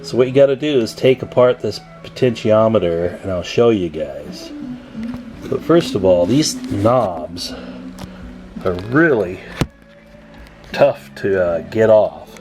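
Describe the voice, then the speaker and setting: A man's voice: fairly low pitch, expressive, slow, over music. A man giving a casual hands-on radio repair tutorial, thinking aloud in a folksy, informal way. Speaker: radio repair hobbyist hosting a tutorial video.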